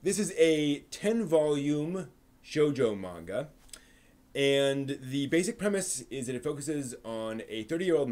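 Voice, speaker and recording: A man's voice: mid-range voice, a little mellow. A man hosting a live stream, giving a casual, off-the-cuff review and often rephrasing himself as he explains.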